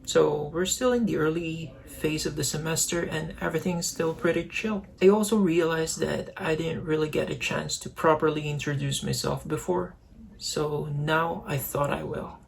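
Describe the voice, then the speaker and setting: A man's voice: a little high, fairly even intonation, normal speed, vocal fry. A young man from the Philippines with a Filipino accent, talking casually to the camera as a vlogger. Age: young adult. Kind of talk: casual vlog talk to camera.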